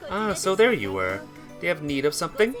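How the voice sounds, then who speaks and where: A visual novel reader voicing a gentle, playful grown woman, a lady-in-waiting with polite, deferential speech. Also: mid-range voice, big pitch swings, brisk, soft background music. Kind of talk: visual novel dialogue read aloud.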